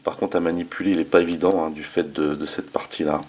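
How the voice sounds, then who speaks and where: A man's voice: sits low, level tone, fast talker, a little mellow, a little hiss or hum. A man hosting a casual hands-on toy review, talking informally with slangy enthusiasm. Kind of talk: casual hands-on product demonstration.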